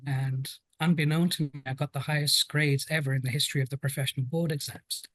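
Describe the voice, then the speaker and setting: A man's voice: on the high side, steady tone, quick pace, fairly bright tone, no room sound. British man in his fifties, dry close sound. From United Kingdom. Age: 50s. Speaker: guest, health and safety professional. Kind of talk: conversational interview storytelling.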